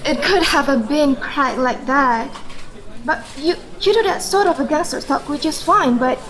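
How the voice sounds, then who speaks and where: A woman's voice: high-pitched, measured pace, a crowd in the background, rough recording. A woman playing a wry, fair-minded wife who teases and questions her husband in a radio drama. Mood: reproachful. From Indonesia. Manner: wry, probing. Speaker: actor in a student radio drama.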